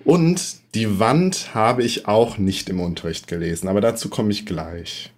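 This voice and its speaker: Hoarse, low-voiced man with a cold. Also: unhurried, darkish tone. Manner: chatty, explains at length with many hesitations. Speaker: podcast co-host. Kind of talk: casual podcast chat.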